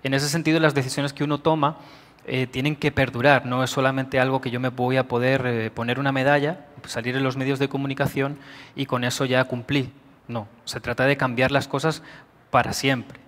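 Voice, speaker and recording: A middle-aged man from Spain with a Spanish accent, giving a measured conference talk with frequent pauses in a large auditorium. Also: medium pitch, flat delivery, a bit bright. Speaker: conference speaker. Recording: long hall reverb.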